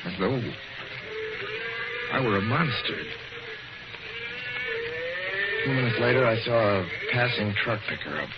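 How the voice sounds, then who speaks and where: An actor playing a haunted, desperate young man in a 1940s radio suspense drama, brooding and halting. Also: mid-range voice, animated, very noisy, lightly acted. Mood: bleak, subdued. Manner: dramatic, brooding first-person narration with halting repetitions. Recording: dull, lo-fi old radio broadcast. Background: loud dramatic music.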